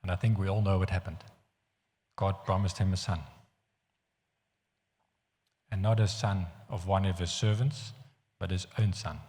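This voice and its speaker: A man from the Netherlands with a Dutch accent, preaching a sermon in an earnest, halting way with frequent restarts. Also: low-pitched, little pitch movement, darkish tone. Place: church hall. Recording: long hall reverb.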